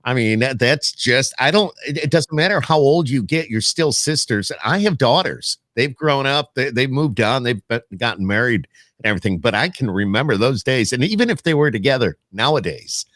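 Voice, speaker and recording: A man's voice: medium pitch, expressive, moderate pace, fairly bright tone, no room sound. A middle-aged man chatting casually as a podcast host, rambling and teasing as he interviews his guests.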